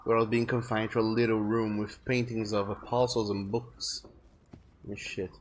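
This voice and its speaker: A man's voice: on the low side, little pitch movement, moderate pace. Chatty man streaming a game, faint hiss. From France. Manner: rambling, self-correcting, chatty. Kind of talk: casual gameplay commentary.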